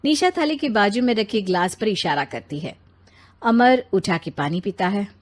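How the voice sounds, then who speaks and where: A woman narrator tells a scripted moral story. Her pitch is medium and the recording is close and free of room echo. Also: animated, moderate pace.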